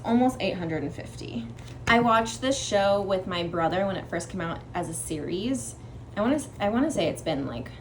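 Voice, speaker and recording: Casual woman book vlogger, noisy room. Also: medium pitch. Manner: informal, rambling, self-interrupting. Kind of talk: casual chatty vlog to camera.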